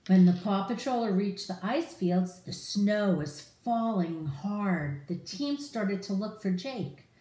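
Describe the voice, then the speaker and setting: A middle-aged woman reads a children's storybook aloud. Her delivery is very lively and speaks straight to the young listeners, with audible room echo around her voice. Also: mid-range voice, slow, hardly any pauses. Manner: animated, addressing children directly. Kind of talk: expressive read-aloud of a picture book to children. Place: room in a home, indoors.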